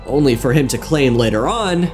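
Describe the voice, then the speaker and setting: A man narrating a scripted video essay on game lore, with wry, deadpan asides. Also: medium pitch, normal speed. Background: music.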